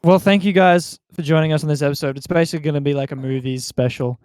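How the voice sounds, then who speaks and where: A man's voice: fairly high pitch, fairly even intonation, fast talker, a little mellow, clean tone, no room sound, clean studio sound. A man, hosting a casual podcast in a conversational style. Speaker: podcast host.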